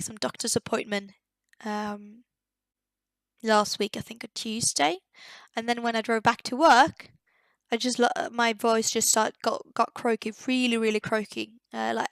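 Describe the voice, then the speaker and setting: Young woman, higher voice, dry close sound, slight croak; hesitant casual commentary. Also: a bit bright, dry recording. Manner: hesitant, searching for words, many fillers. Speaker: game builder. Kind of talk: casual voice-over commentary on a game build.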